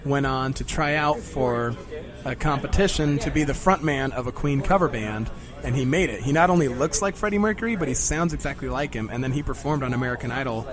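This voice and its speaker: A man who is a stand-up comedian, chatting and joking in an interview with a raspy voice, often clearing his throat. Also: mid-range voice, fairly quick. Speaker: stand-up comedian, feature act. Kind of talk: casual interview chat. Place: pizzeria comedy venue.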